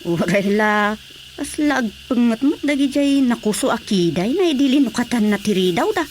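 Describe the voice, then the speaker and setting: A very high-voiced, excitable village woman acted by a woman with a Filipino accent, chatting and complaining in a radio comedy. She is gossipy and full of hesitant asides. Faint noise and insect sounds sit behind her. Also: moderate pace, lightly acted. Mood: gossipy, flustered.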